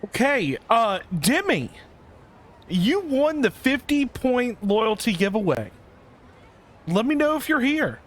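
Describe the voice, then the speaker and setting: High-pitched, animated male livestreamer. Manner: halting, repeats himself. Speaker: game livestreamer. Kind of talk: casual livestream chat. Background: game music.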